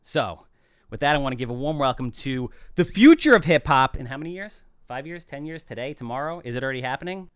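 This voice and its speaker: Animated joking middle-aged man. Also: medium pitch, quick pace, clear, resonant tone, dry recording, clean studio sound. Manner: animated, joking, gestures broadly while talking. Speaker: podcast host. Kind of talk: casual podcast chat. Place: small office studio. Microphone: boom mic close up.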